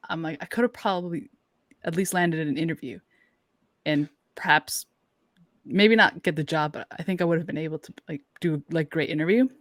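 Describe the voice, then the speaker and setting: A man's voice: a little high, normal speed, a bit bright, no echo, clean studio sound. A young man co-hosting a podcast, talking informally and reflectively, as if thinking aloud. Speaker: podcast co-host. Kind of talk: casual conversational podcast talk.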